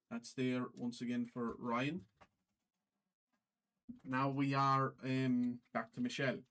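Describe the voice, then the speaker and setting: Halting man streamer with put-on Irish accent. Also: medium pitch. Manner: halting, repeats phrases. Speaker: trading card break livestream host. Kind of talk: casual livestream commentary, calling out cards.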